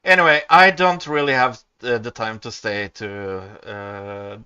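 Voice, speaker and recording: A man's voice: medium pitch, steady tone, measured pace, sharp tone, smooth, no echo. A man joining a stream argument, amused and stammering as he cuts in. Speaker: stream co-host. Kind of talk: livestream banter. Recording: dull, lo-fi mic.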